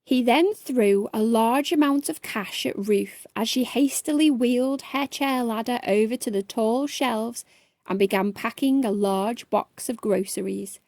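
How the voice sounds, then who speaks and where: Casting: female children's storyteller, high-pitched and unhurried, reading expressively in a mellow tone. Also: no room sound. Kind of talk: expressive scripted children's bedtime story narration.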